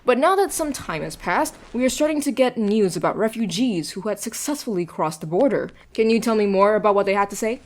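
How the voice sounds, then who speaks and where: Woman acting a polished mid-pitched news anchor. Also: animated, fairly quick, hardly any pauses, close to an everyday voice. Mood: formal, brisk. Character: polished, inquisitive female radio news anchor. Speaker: news host. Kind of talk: acted news broadcast.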